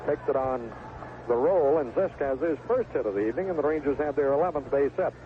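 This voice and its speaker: A man's voice: medium pitch, expressive, fairly quick. A man calling live baseball play-by-play on the radio in a folksy, colorful way, recorded at the ballpark. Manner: folksy, colorful turns of phrase. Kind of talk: live play-by-play sports commentary. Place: outdoor ballpark broadcast booth. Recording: tinny, noisy 1970s AM radio broadcast.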